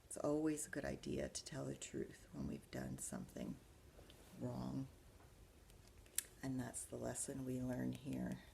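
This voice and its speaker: A woman's voice: very deep voice, animated, unhurried, vocal fry, pauses often, dark tone, slight background noise. A woman librarian talking young children through a storybook, explaining and asking them questions as she goes. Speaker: school librarian reading to children. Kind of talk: animated children's storybook read-aloud with asides.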